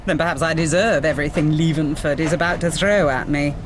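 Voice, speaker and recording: An actress in a radio drama playing a tired, wry, ageing touring stage performer with a theatrical, sardonic manner. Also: female voice, low voice, fairly even intonation, a little fast, background noise, lightly acted. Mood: weary, wry. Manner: theatrical, sardonic, world-weary.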